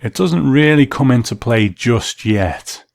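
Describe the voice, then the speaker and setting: A man's voice: medium pitch, lively intonation, unhurried, vocal fry, darkish tone, no echo, clean studio sound. A man hosting a podcast, delivering scripted documentary-style narration. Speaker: podcast host.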